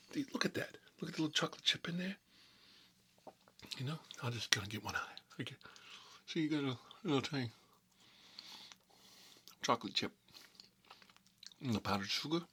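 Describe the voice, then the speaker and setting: A man's voice: medium pitch, a bit bright, slightly rough, voice pushed up. A man playing a talkative, hot-headed mob boss in an improvised ASMR comedy monologue, rambling and repeating himself in streetwise slang. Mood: relaxed, savoring.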